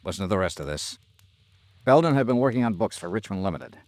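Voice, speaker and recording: An actor playing an earnest, insistent American investigator in an old-time radio drama, speaking in urgent, clipped phrases. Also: male voice, on the low side, animated, fast, a little mellow, no echo, natural voice. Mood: firm, urgent. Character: insistent, earnest male insurance investigator, gentle but hard-boiled.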